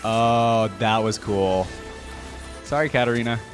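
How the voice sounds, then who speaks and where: A man's voice: medium pitch, fairly even intonation, unhurried, soft background music. A man hosting a reaction video, talking casually in an informal, slangy, rambling way.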